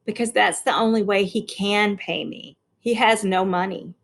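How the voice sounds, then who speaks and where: A woman reading the part of a calm, wry father who is a country lawyer in a storybook. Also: mid-range voice, normal speed, gravelly. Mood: matter-of-fact.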